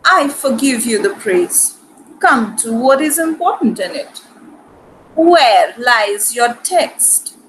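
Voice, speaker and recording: A woman acting a proud, sharp-witted noble lady in a Shakespearean dramatic reading, with an arch, haughty manner. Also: on the high side, expressive, takes it slowly, slight background noise, low-quality recording. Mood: arch, amused.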